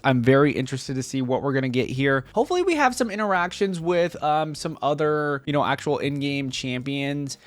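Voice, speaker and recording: A man giving casual reaction commentary as a host, talking informally and thinking aloud as he goes. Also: mid-range voice.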